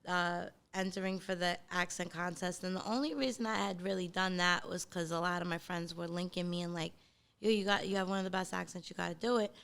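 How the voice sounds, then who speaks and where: A woman's voice: on the low side, fairly even intonation, a little fast, creaky, a bit bright, clean tone. A woman from South Brooklyn with a Brooklyn accent, chatting casually as an interview guest in an emphatic, slangy way and swearing freely. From South Brooklyn, New York.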